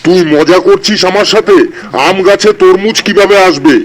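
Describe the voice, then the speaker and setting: A man's voice: high voice, normal speed, close to an everyday voice, poor audio. A man voicing an easily flustered, exasperated schoolteacher in a comedy cartoon, speaking theatrically.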